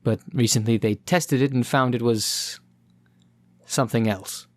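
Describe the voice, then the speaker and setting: A man playing a mockingly polite, theatrical supervillain in an audio roleplay, talking with deliberate, taunting menace. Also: mid-range voice, steady tone, no room sound, lightly acted. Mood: condescending. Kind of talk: audio roleplay addressed to the listener.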